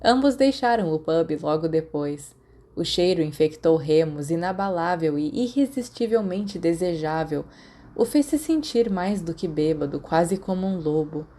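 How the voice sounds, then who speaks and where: A woman's voice: fairly low pitch, animated, normal speed, mellow. A woman with a Brazilian accent narrating scripted fiction as an audiobook reader.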